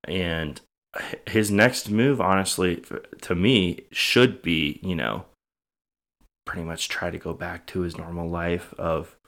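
A man with a low-pitched voice co-hosts a true crime podcast. He chats casually and reacts to the story, tentatively working out his thoughts as he speaks. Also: steady tone, slow pace, fairly bright tone. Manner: tentative, thinking aloud.

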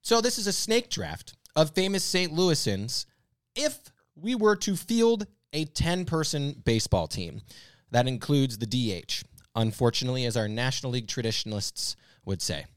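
Animated male comedy podcast co-host. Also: medium pitch, moderate pace, clean tone, no echo, clean studio sound. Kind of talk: casual comedic banter and mock-dramatic comment reading.

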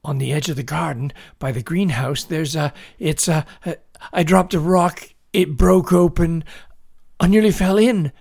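A frightened young boy in an audiobook story, performed by a grown man, pitched up high. He is urgent and insistent, stumbling over his words in breathless excitement. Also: slow, vocal fry, slightly rough, full character voice. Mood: panicked. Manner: urgent, stammering, gasping exclamations.

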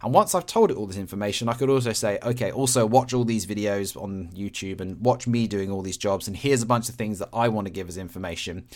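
A man's voice: fairly low pitch, quick pace, few pauses, a little mellow. A man hosting a podcast, talking casually and explaining things in simple, everyday terms. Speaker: podcast host.

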